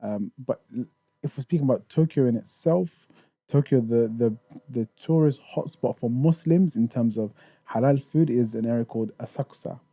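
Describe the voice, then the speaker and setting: A man's voice: medium pitch, normal speed, mellow, dry recording. A man raised in the UK, talking casually as a podcast guest about touring in Japan, with many restarts and fillers. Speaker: guest, Japan tour organizer.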